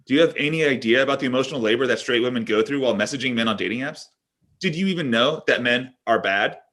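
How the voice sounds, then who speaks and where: Man acting a bright, brash young tech executive. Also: medium pitch, fast, natural voice. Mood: ranting, worked up. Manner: overblown salesman patter, dramatic exclamations. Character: brash, pushy male Tinder executive, thirty-three, gleefully crass. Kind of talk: comedy table read.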